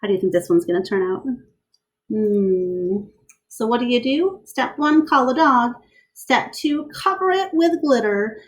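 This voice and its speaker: A woman's voice: a little high, measured pace. A woman reading a children's book aloud like a teacher, explaining as she goes and putting questions to young listeners. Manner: instructive, asks the listener questions, enunciates steps.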